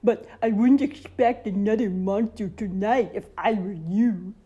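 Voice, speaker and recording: Storybook monster, played by a woman: big scruffy boy, slobbery, drawn-out mock menace with a whine. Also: mid-range voice, warm tone, breathy edge, dull audio. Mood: whiny, huffy. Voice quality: slobbery, slurping.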